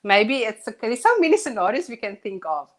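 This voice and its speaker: A woman co-hosting a live talk show who talks earnestly and thinks out loud. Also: a little high, big pitch swings, fairly quick. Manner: earnest, reflective, thinks aloud. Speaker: co-host. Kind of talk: casual discussion on a live show.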